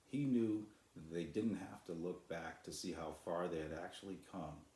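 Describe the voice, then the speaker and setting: Guy author reading his kids book, really deep voice, lots of room echo. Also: moderate pace, dark tone. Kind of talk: storybook narration.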